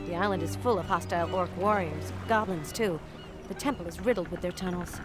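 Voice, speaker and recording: A woman's voice: fairly low pitch, a little fast, natural voice. An actress playing an earnest, commanding young noblewoman mage in a fantasy video game. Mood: grave, explanatory. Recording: game music behind the voice.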